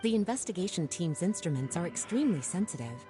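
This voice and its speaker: A poised, composed scholar. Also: female voice, fairly low pitch, very animated, warm tone. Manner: composed, measured explaining. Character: grown woman scholar, poised, sultry, unhurried. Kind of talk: video game dialogue. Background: game music.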